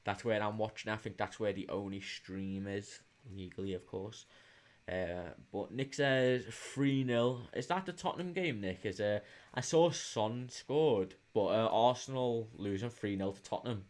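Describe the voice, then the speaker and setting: A man with a fairly low voice and a Liverpool accent hosts a live stream. He talks casually to his viewers, reading out their comments and answering in a hesitant, informal way. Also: a little slow, darkish tone. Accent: Liverpool English. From England, Liverpool. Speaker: livestream host. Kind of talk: casual live chat with viewers, football watch-along.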